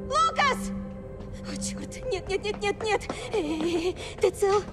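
A woman's voice: extremely high pitch, very animated, slow pace, exaggerated voice. An actress voicing a reckless, determined young female street racer, urgent and defiant, in a dubbed video game. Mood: worried, pleading. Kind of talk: dramatic video game dub acting. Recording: game music over the voice.

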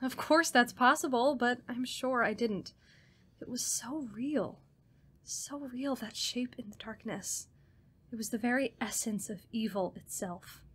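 A woman's voice: on the high side, unhurried, a bit bright, breathy edge. An actress playing a frightened, insistent young woman haunted by shadows in a radio drama. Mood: haunted, fearful.

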